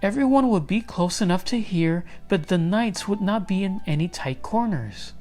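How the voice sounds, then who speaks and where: Guy putting on a clever storybook wife, high voice, patiently explains stuff, music behind. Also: expressive, normal speed, darkish tone. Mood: helpful, explaining. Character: Lady Di, clever, helpful storybook wife, adult woman.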